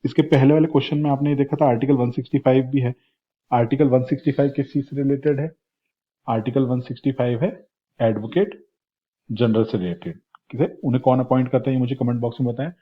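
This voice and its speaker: A slightly hoarse man with an Indian accent, teaching a quiz-style exam lecture and repeating questions in Hindi and English. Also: mid-range voice, steady tone, quick pace. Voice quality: husky. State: slightly hoarse, sore throat. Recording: dull, lo-fi lecture audio.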